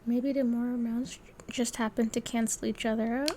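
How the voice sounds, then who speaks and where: A woman's voice: a little high, flat delivery, a little fast, dark tone, slight background noise. A young woman giving casual let's-play commentary, muttering wry, offhand remarks as she goes. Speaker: gaming streamer.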